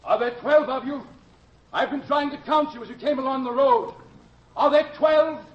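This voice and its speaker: A man playing an excited, worried stranger calling out to travelers in a radio drama. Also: very high-pitched, moderate pace. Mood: excited, urgent. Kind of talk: old-fashioned radio drama acting. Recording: echoey, dull radio drama recording.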